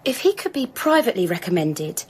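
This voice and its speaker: An actress playing an anxious, deferential working-class Victorian wife in a radio drama, apologetic and hesitant. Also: female voice, mid-range voice, big pitch swings, brisk, a little hiss or hum, natural voice. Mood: timid, pleading.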